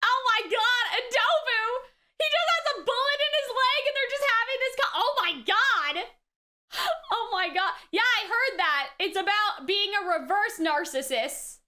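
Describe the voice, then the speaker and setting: A woman giving casual, excitable reaction commentary as the host, exclamatory and slangy, swinging between shouts and mutters. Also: extremely high pitch, moderate pace.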